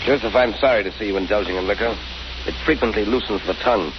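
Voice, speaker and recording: An actor playing a controlled, menacing conspirator with measured, formal speech in an old-time radio drama. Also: male voice, on the low side, close to an everyday voice. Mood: quietly menacing. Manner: measured, formal, veiled threats. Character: menacing, controlled male conspirator warning his partner. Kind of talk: 1930s radio drama acting. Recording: muffled, noisy old radio recording.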